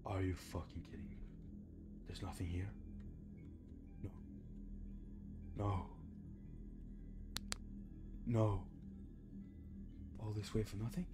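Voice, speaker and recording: A young adult man giving casual, muttered video game commentary indoors, frustrated and swearing freely. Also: lowish voice. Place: indoor room at home.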